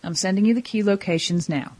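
Motherly criminal go-between, woman acting, medium pitch, affectionate and wry, for audio drama. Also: fast talker, darkish tone, close to an everyday voice. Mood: businesslike. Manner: fond, teasing, chatty. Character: affectionate, teasing mother who brokers criminal jobs.

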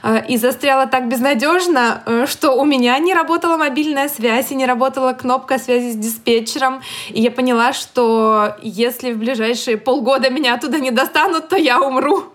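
A woman with a high voice co-hosting a podcast. She tells casual, funny stories at her own expense, rambling along in a lighthearted manner. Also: fairly quick, fairly bright tone. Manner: self-deprecating, rambling, lighthearted. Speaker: podcast co-host. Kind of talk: casual humorous storytelling.

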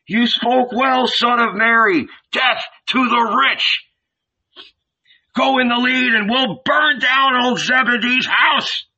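Man voicing furious, high-shouting ragged rabble. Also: slow, highs cut off, exaggerated voice. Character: angry mob of ragged, hungry poor men.

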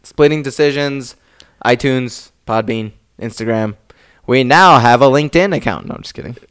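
A man's voice: mid-range voice, moderate pace, fairly bright tone, smooth. A young man co-hosting a podcast, chatting casually and laughing often at his own jokes. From Nevada. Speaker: podcast co-host. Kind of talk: casual joking chat.

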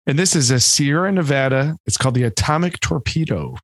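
A man's voice: mid-range voice, animated, fairly quick, no echo. Wry Michigan man, casual podcast chat. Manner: wry, joking. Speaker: podcast co-host. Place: home.

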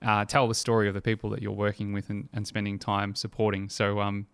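Smooth-voiced male interviewer. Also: on the low side, completely flat delivery, brisk, a bit bright. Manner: reflective, affirming, hesitant phrasing. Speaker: interviewer, host. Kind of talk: conversational interview.

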